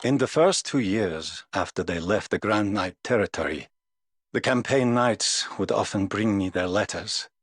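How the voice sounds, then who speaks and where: An English actor voicing a weary, aloof middle-aged knight in a game, speaking in a dry, measured, world-weary way. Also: male voice, fairly low pitch, lively intonation, husky, no room sound. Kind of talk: game voice lines.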